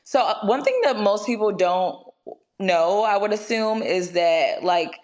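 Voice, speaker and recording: A woman's voice: mid-range voice, a little slow, darkish tone. A woman in her twenties from Ghana, a nurse chatting casually as an interview guest with lots of "like" and "you know". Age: 20s.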